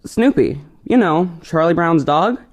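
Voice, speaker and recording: A man plays an easygoing boy of about fourteen in an audio play. The voice is on the high side, with a casual, slightly cocky and flippant way of talking. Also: animated, a little slow. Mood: breezy, chatty.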